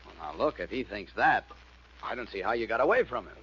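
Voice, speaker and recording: An actor playing a mild-mannered, hesitant doctor in a 1940s radio drama. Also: male voice, on the low side, lively intonation, fast, sharp tone, slightly rough, full character voice. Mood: uneasy. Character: mild, hesitant doctor, husband and hobby carpenter. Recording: muffled, hissy old radio recording.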